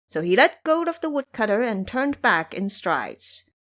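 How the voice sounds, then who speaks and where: A woman's voice: a little high, sing-song, measured pace, a bit bright. A woman narrator delivering scripted narration for an audio drama story.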